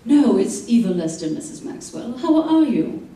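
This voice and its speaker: A cold, manipulative schemer of a woman, played by a stage actress in a vintage-style radio play. Her voice is soft-edged and mellow, with a measured, insinuating theatrical delivery. It rings through a very echoey hall, picked up from a distance. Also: fairly high pitch, sing-song. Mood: sly cruel. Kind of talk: old-time radio drama acting on stage. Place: theater stage before a live audience. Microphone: stage mics, recorded by far camera. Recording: echoey stage hall, far mic, faint noise.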